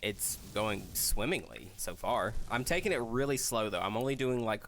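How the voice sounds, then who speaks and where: Low-voiced man chatting about games. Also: expressive, moderate pace, slight background noise. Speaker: gaming show co-host. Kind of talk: casual chat about video games.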